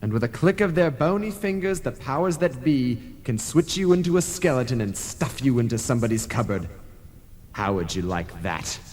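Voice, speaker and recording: A man's voice: medium pitch, very animated, moderate pace, darkish tone, lightly acted. A man playing an officious, preachy ghost messenger in an old-time radio play, theatrical and formal. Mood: ominous warning. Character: officious, preachy male ghost messenger, cautioning his brother. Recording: long reverb, analogue radio broadcast tape.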